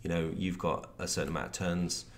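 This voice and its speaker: Deep west country guy explaining his game design, chatty, lots of restarts. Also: steady tone, brisk. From partly Devon, England. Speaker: creative director and game designer guest. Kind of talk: casual chat while streaming a game.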